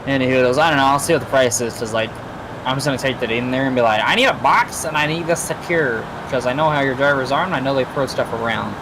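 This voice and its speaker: A man's voice: medium pitch, quick pace, noisy background. A man chatting casually with a friend during a video game, telling a rambling, easygoing story. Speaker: gamer playing with a friend. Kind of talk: casual chat while gaming.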